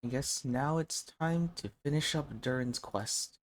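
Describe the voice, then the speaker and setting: A man's voice: medium pitch, unhurried. A man giving casual gameplay commentary as a streamer. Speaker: gaming streamer. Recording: game music behind. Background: game music.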